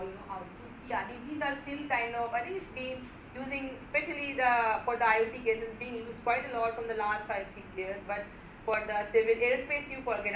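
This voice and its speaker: Halting woman lecturer in an echoey room. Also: a little high. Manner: halting, searching for words with many fillers. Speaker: guest lecturer. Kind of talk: lecture question and answer. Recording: echoey room with background noise.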